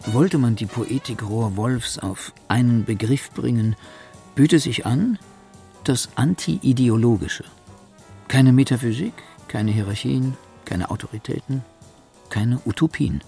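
A man's voice: mid-range voice, measured pace, gravelly, dark tone, faint music behind. A man delivering formal, erudite scripted narration for a literary radio feature.

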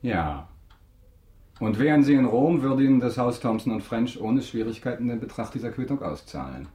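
An actor in a German radio drama playing a suave, calculating count, polite, measured and quietly ironic. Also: male voice, mid-range voice, big pitch swings, reverberant, lightly acted. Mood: coolly insistent.

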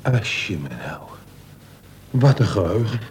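A man's voice: on the low side, lively intonation, slow pace, darkish tone. A man acting a naive, slangy young prisoner in a Dutch radio play. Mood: naive, anxious. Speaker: actor. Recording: noisy old radio play recording.

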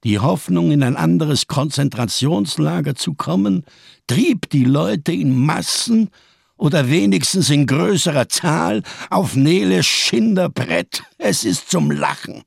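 A man's voice: on the high side, animated, measured pace, no room sound, clean studio sound, full character voice. An actor in a radio drama playing a scarred, hard-drinking survivor of the camps, solemn and rhetorical, bitterly defiant in his grief. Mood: impassioned, bitter. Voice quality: gravelly, husky. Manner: solemn, rhetorical, swinging between hushed and impassioned.

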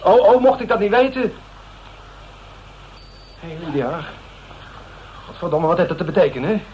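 A man's voice: high-pitched, very animated, moderate pace. An actor with a Netherlands Dutch accent playing a suspicious, touchy man in a radio drama, hesitant, colloquial and blustering. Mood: indignant, suspicious. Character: suspicious, touchy man receiving late-night visitors. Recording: muffled, noisy radio recording.

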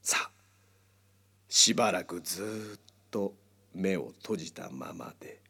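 An actor playing a controlling male psychiatrist in a radio drama, speaking in a measured, formal, hypnotically coaxing way. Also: deep voice, animated, gravelly, sharp tone, slightly rough, exaggerated voice. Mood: hypnotic, controlled.

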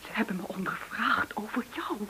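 An actress in a radio play playing an anxious, distraught woman with a breathy, shaken delivery. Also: a little high, animated, normal speed, pushed and bright, whispered. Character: anxious woman, nerves frayed, confiding in a friend. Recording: hissy, clicking old radio recording.

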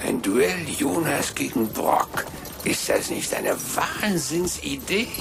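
An actor playing a smug, treacherous show boss in a radio drama, theatrical and mockingly polite, gleefully cruel. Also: male voice, medium pitch, wildly swooping pitch, slow, gravelly, background noise, full character voice. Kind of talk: German radio drama acting.

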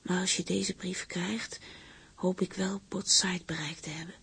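An actor playing a sincere seaman reading his letter in a breathy, half-whispered voice in a radio drama. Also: high-pitched, flat delivery, measured pace, bright, forceful tone, heavily acted. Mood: intimate, reflective.

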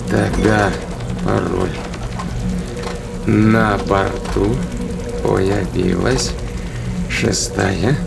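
Gruff creaky-voiced ship captain, mutters to himself then barks orders, radio play with loud music under it. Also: male voice, on the low side, full character voice. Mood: muttering, focused. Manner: terse, muttering to himself, commanding. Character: gruff, resolute male spaceship captain, sardonic under pressure. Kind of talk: audio drama acting.

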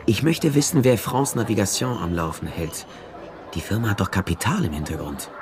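An actor playing a wry, laconic private detective in a German radio crime drama, narrating and talking dryly. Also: male voice, lowish voice, lively intonation, a little fast, a crowd in the background, lightly acted. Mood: businesslike. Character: Nestor, wry, dogged male private detective. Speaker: detective narrator and lead character.

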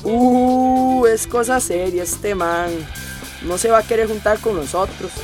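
A man's voice: sits high, unhurried, over music. An actor playing a cocky, teasing, slangy young man in a radio drama.

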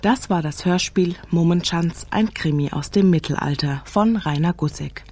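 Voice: medium pitch, normal speed, a little mellow, slightly rough. An announcer reading the cast credits of a radio play in a scripted style.

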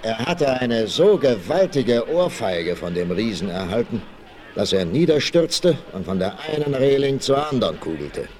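Very animated male storyteller on old vinyl. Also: medium pitch, moderate pace. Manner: theatrical, suspenseful delivery. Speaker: narrator. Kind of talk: dramatic scripted storytelling, 1960s radio play. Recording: vinyl record transfer with faint noise.

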